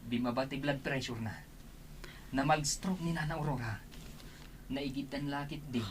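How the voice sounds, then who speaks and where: A man's voice: mid-range voice, moderate pace, a little hiss or hum, lightly acted. An Ilocano actor plays a male hospital doctor with a mid-range voice. He is dutiful and reassuring, giving instructions earnestly in radio drama style.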